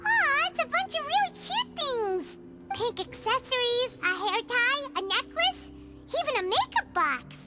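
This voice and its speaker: A woman voicing a tiny, excitable, self-important fairy companion in a video game, with a squeaky childlike voice and theatrical, exclamatory delivery. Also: a little slow, heavily acted. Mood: curious. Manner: exclamatory, theatrical, refers to self in third person. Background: music.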